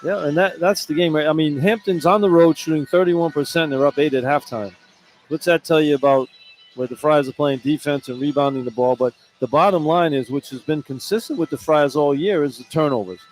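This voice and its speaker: A man's voice: a little high, fairly quick, a little hiss or hum, rough recording. A man doing unscripted halftime radio commentary on basketball in a conversational, blunt and critical manner.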